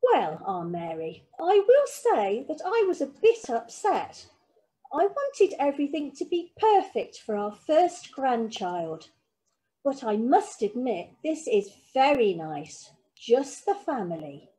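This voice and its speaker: A woman's voice: high voice, very animated, mellow, heavily acted, poor audio. A woman voicing a bossy, fussing but loving grandmother in a storybook. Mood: fond. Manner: brisk, bustling, fussing.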